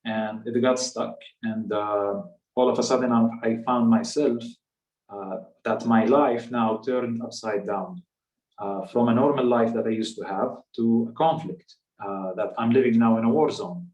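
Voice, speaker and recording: A man in his thirties with a Palestinian accent, a native Arabic speaker, telling a personal story in a measured, halting way. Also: mid-range voice, steady tone, darkish tone, muffled recording. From West Bank, Palestinian territories. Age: 30s. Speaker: guest speaker. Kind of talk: personal storytelling in a public talk. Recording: echoey room, distant microphone.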